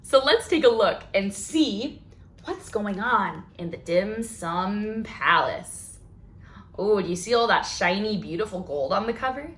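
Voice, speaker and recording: A woman's voice: mid-range voice, lively intonation, a little slow, fairly bright tone. A woman hosting a children's storytime, reading a picture book aloud expressively, with questions and exclamations of wonder for young listeners. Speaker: children's library storytime host.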